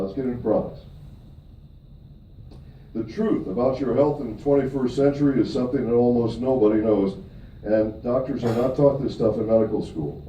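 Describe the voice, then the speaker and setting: A middle-aged man from Michigan gives a seminar talk to an audience, emphatic, with upward swings on key points and frequent check-ins of "okay?" with the room. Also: mid-range voice. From Michigan, USA. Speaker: presenter at a company event. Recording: distant, echoey, dull audio with faint noise.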